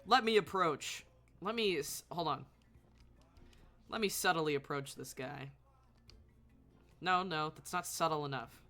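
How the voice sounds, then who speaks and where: Slow-paced, bright-voiced game streamer. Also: mid-range voice, soft background music. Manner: sweary, self-talking, playful. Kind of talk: casual live gameplay commentary.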